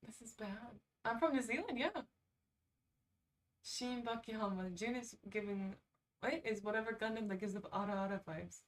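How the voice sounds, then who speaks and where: A woman's voice: on the high side, normal speed, recorded from a distance, slight background noise. A woman hosting a casual livestream, chatting informally and reacting off the cuff with sarcastic asides. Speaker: livestream host. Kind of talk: casual livestream chat, reading quizzes aloud.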